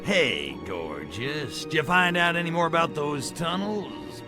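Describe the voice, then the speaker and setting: A cocky alien invader from a video game, drawling slowly with sardonic swagger. The pitch sits a little high and swings widely, over prominent background music. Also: male voice, expressive. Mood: flirty, sly. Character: cocky, lecherous, wisecracking male alien invader. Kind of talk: video game cutscene acting.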